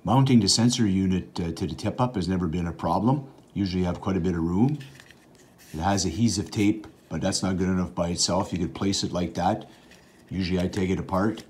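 A man's voice: low-pitched, a little fast, darkish tone, slight background noise. A middle-aged man giving a casual, matter-of-fact how-to demonstration at an indoor workbench, talking while he works with his hands. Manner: plain, matter-of-fact explaining with fillers. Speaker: outdoors channel host.

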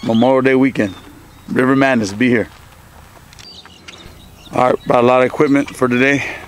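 A man organizing a youth softball clinic, talking casually to his handheld camera outdoors in a loose, upbeat way with plenty of fillers. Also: medium pitch, steady tone, moderate pace, slight background noise. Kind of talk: casual vlog narration to camera.

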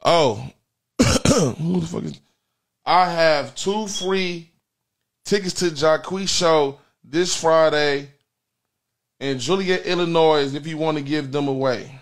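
A man's voice: a little high, slow, long pauses. An American man in his thirties hosting a comedy livestream with casual, profane, repetitive slangy banter. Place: indoor studio in front of a printed backdrop.

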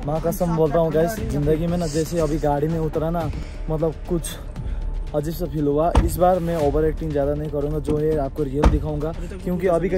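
Young man, vlogger, excitable, slightly hoarse, high-pitched, music behind. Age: young adult. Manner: excitable, chatty, addresses viewers as guys. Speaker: travel vlogger. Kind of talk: casual vlog chat to camera. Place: outdoors at night, hotel, car interior.

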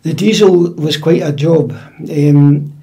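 A Scottish man in his seventies, recalling his working life in a measured, matter-of-fact way during a relaxed interview in a dining room at home. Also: a little high. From Scotland. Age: 70s. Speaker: interview guest, retired drummer. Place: dining room in a private house.

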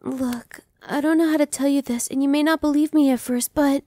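A soft-spoken young vampire woman, played by a young woman in an audio roleplay. Her voice is high yet mellow, slow and reassuring, slipping into whispers, recorded close and dry. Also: fairly even intonation, no room sound, natural voice. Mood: soothing. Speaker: voice actress. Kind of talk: ASMR roleplay.

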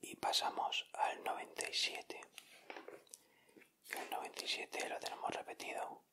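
A man whispering softly and deliberately in an ASMR style. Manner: soft, unhurried, deliberate narration of each item. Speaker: ASMR video creator. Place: indoors at a table.

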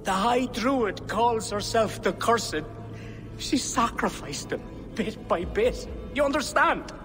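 An actor playing a frightened, shaken Irish villager in a video game, speaking haltingly with an Irish accent. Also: male voice, high voice, expressive, big-room sound, music in the background. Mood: horrified. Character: frightened Irish commoner man, shaken survivor. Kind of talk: video game cutscene acting.

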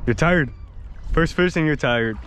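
A man's voice: on the high side, unhurried, fairly bright tone, slight background noise. A young man hosting a casual fishing vlog outdoors by the water, playful and joking with viewers. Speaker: fishing channel host.

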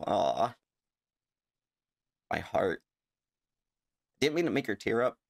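A man's voice: lowish voice, big pitch swings, slow, creaky. A middle-aged man streaming on a headset mic from his home setup, reacting in casual live chat, swearing freely and laughing easily.

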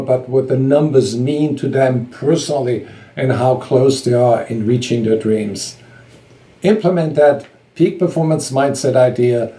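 A man's voice: medium pitch, warm tone. Earnest man in his sixties, roomy sound. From Austria. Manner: earnest, emphatic, gestures while talking. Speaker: vlog host, sales coach. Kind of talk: scripted talk to camera. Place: indoors before a sky backdrop. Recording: roomy echo.

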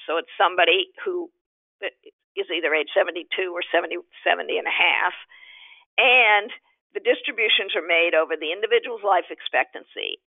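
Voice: medium pitch, dry recording. A webinar presenter explaining rules over slides in a conversational way, with frequent restarts, heard on a telephone line. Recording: tinny phone-line audio.

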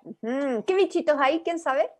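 A woman's voice: high voice, animated, measured pace. A young woman with a Chilean accent guiding an informal workshop over a video call in an encouraging, inviting way. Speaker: workshop facilitator. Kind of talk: informal guided workshop talk. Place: indoor room, on a video call. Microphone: video-call computer microphone.

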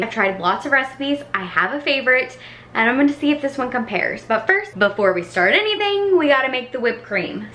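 A woman's voice: on the high side, bright, forceful tone. A young woman talking casually as she cooks in her home kitchen, chatting and teasing herself along the way. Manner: chatty, self-teasing, talks while cooking. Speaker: cooking vlogger. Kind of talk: casual cook-along chat. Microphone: camera on the counter, at some distance. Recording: echoey kitchen with faint room noise.

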